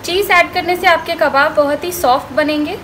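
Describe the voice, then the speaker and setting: A woman host giving calm step-by-step recipe instructions in a voice-over, mixing in English cooking words. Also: high-pitched, normal speed. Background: background music.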